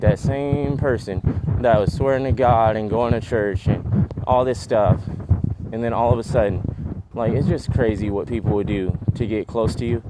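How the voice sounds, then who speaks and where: A man's voice: mid-range voice, very noisy. A man, rambling restlessly in a vlog while out in a residential neighborhood, often trailing off. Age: 20s. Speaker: vlogger.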